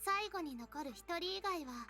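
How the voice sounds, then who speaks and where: A woman's voice: very high-pitched, lively intonation, a little fast, sharp tone, exaggerated voice. A woman voicing a haughty, girlish game overseer in a visual novel, speaking in a formal, ladylike and condescending way. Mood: formal, composed. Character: prim, haughty, high-voiced girlish female game overseer. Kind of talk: Japanese visual novel voice acting. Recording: music behind the voice.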